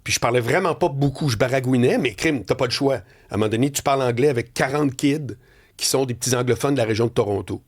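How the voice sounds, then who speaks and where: A middle-aged man with a Quebec French accent, telling animated stories on a radio talk show and often laughing. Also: fast talker. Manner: storytelling, laughing, swinging pitch. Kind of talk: animated editorial chat. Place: radio studio.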